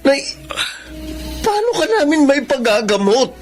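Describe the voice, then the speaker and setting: Guilt-ridden young Filipino man, earnest, emotional, lively intonation, drama acting, background music. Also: extremely high pitch, unhurried, full character voice. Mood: bitter, anguished. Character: troubled young male thief, guilt-ridden son. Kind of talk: Filipino radio-style melodrama acting.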